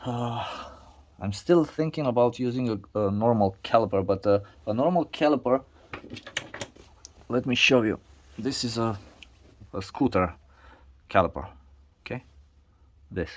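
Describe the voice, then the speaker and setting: A man whose first language is not English, narrating a casual workshop vlog in a halting way with many restarts and fillers. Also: mid-range voice, lively intonation, slight background noise. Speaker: DIY video maker.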